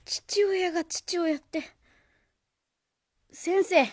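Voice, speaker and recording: Woman acting a lonely, high-voiced young boy. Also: very slow, full character voice. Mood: hurt, defiant. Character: lonely, stubbornly trusting young schoolboy, Ryotaro. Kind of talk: Japanese radio drama acting.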